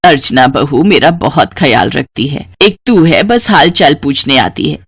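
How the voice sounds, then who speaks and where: An elderly mother-in-law from a family storybook drama, played by a woman. Her voice sits well below the performer's usual pitch. She chides and grumbles in a blunt, reproachful way, recorded dry. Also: expressive, a little slow, breathy edge, dry recording, exaggerated voice. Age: older.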